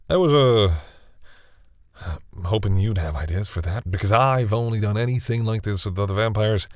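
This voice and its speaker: Man acting a deep-voiced, flustered vampire boyfriend. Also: no room sound, clean studio sound, lightly acted. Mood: hesitant, earnest. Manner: hesitant, stammering, easily embarrassed. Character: flustered, shy, protective male vampire boyfriend. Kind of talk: intimate audio roleplay, acted one-sided dialogue.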